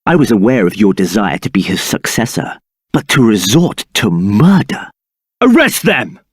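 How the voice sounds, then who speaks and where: A haughty scheming templar captain. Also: male voice, on the low side, big pitch swings, normal speed, slightly rough, dry recording, clean studio sound. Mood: triumphant. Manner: haughty, condescending, silky. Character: scheming arrogant male templar captain, adult. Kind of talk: video game voice acting.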